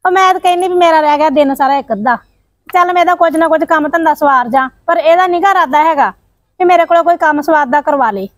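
A woman playing a quick-tempered, scolding sister-in-law in a family drama. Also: high-pitched, no room sound, lightly acted. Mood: complaining. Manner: scolding, blunt and bossy. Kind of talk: village family drama acting.

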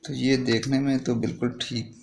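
A man's voice: mid-range voice, level tone, normal speed, creaky, a little mellow. A man explaining a hands-on repair step by step in a plain, improvised way, like a tutorial host. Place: indoor repair workbench. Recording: noisy home-made recording with clicks.

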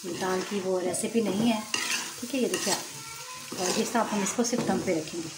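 A woman's voice: mid-range voice, fairly quick. Chatty middle-aged woman in echoey kitchen. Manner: chatty, explains step by step with frequent asides. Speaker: cooking vlogger. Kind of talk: casual home cooking tutorial. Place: home kitchen at the stove. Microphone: phone camera held over the pot. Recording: echoey kitchen with cooking noise. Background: frying and sizzling.

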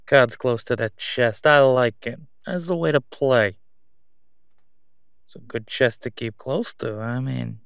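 A man acts out a middle-aged crime boss for an audio drama, sly and self-assured. He draws out his words with mocking condescension, smooth-talking his way along. The sound is close and dry. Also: mid-range voice, no echo. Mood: mocking, amused. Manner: sarcastic, smooth-talking, drawn-out condescending delivery. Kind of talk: roleplay audio drama monologue.

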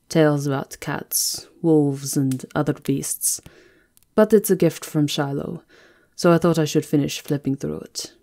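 A slow, languid vampire countess, acted by a woman. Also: low-pitched, dark tone. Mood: soft, affectionate. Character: elegant, seductive female vampire countess, languid and teasing. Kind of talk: ASMR roleplay.

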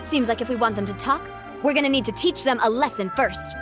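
A woman's voice: on the high side, brisk, lightly acted. An actress playing a gracious, confident young lady boss in a video game, elegant and sincere, with music behind her. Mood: resolute. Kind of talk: video game character acting.

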